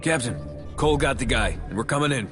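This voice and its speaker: An actor playing a wary soldier on a search team in a video game, muttering in a hushed way. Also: male voice, medium pitch, animated, quick pace, over music, lightly acted. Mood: subdued, curt. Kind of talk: scripted game cutscene acting.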